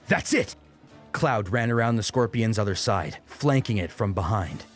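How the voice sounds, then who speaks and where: A man's voice: lowish voice, moderate pace, soft background music. A man narrating an action story as an audiobook, in a dramatic scripted style.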